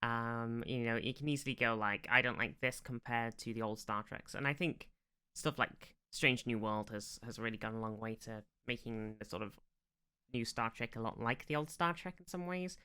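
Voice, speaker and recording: A man hosting a podcast with a very bright, forward voice that sounds clean and smooth. He sits at a middle pitch and talks casually and bluntly, often acting out what was said or thought. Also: moderate pace. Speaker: podcast host. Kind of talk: casual chat.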